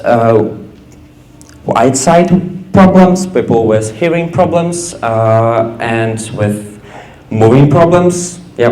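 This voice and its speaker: A young man giving a casual app demo on stage, halting with many fillers. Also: mid-range voice, darkish tone, echoey room, low-quality recording. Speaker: student presenter. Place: event stage.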